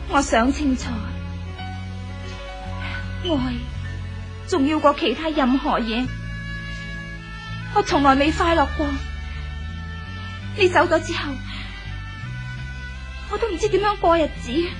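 A woman's voice: extremely high pitch, takes it slowly, pauses often, low-quality recording. A woman playing an earnest young woman devotedly in love in a 1970s radio drama, speaking emotively with a Hong Kong Cantonese accent. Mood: emotional, resolute. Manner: earnest, emotive, musing aloud. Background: music.

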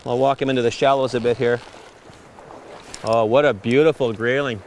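A middle-aged man hosting a fishing show on location, wading in a river and exclaiming excitedly over his catch. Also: medium pitch, normal speed, slight background noise. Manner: excited, exclaiming, laughing often. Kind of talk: on-location casual chat.

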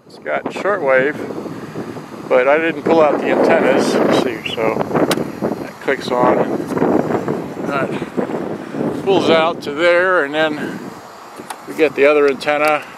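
A man's voice: on the high side, steady tone, takes it slowly, long pauses. A man rambling casually and muttering to himself as he shows off an item he is selling, speaking unscripted outdoors. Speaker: seller demonstrating a repaired boombox. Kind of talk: casual unscripted product demo. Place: outdoors in a grassy open yard. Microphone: handheld camera, speaker behind it. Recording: loud wind and outdoor noise.

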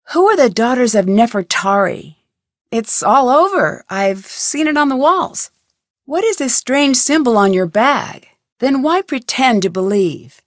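An actress playing an inquisitive, persistent young woman detective in an adventure game, with clear, earnest, questioning delivery. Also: a little high, dry recording, studio quality. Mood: probing, suspicious. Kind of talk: scripted adventure game voice lines.

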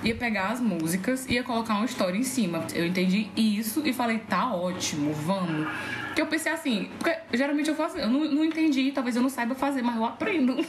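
A woman's voice: on the high side, lively intonation, fast. A woman with a Brazilian accent chatting casually on a podcast, telling stories in a colloquial way. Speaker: podcast guest, musician. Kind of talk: casual chat. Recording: echoey room, clear background noise.

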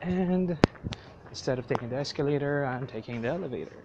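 A man's voice: mid-range voice, fairly quick. Young man, from the Middle East, rambling casual vlog, muffled camera audio, heavy traffic noise. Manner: chatty, rambling, self-correcting. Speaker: vlogger. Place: outdoors in a hot city, then a metro station and a cafe. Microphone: selfie camera at arm's length. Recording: dull lo-fi camera audio with loud street noise.

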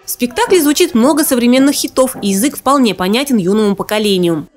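A woman's voice: a little high, animated, quick pace. A woman reporter reading a scripted voice-over for a television news feature. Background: faint music.

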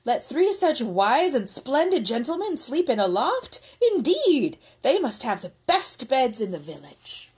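A woman's voice: sits high, very animated, unhurried, few pauses, breathy edge, pitched above the performer's own voice, heavily acted, low-quality recording. A woman voicing eager, simple village peasants in a storybook, full of wonder and exclamation. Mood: amazed.

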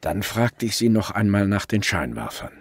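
A man's voice: lowish voice, big pitch swings, normal speed, a crowd in the background. A man acting as a brooding police detective who narrates his case in first person in a German crime radio play. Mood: reflective, subdued.

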